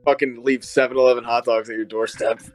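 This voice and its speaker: A man's voice: mid-range voice, little pitch movement, fast. A young man on a team, trading brash trash talk and mock boasts in crude casual banter. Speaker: team member, guest.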